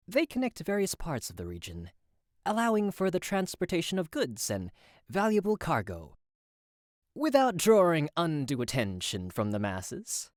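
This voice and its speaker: A woman's voice: fairly low pitch, a little mellow, no echo, clean studio sound. A woman voicing a self-assured, genial male inventor in a visual novel game.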